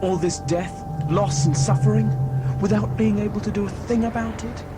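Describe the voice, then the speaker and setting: A man's voice: high voice, steady tone, moderate pace, close to an everyday voice. An actor playing a frustrated, helpless young man in an audio drama, questioning and argumentative. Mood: anguished. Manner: exasperated, questioning, emotionally volatile. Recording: amateur cassette drama, music underneath.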